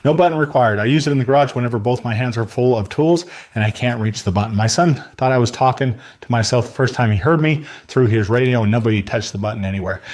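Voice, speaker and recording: A man delivering a scripted product review countdown in a salesy manner, speaking directly to the viewer. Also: medium pitch.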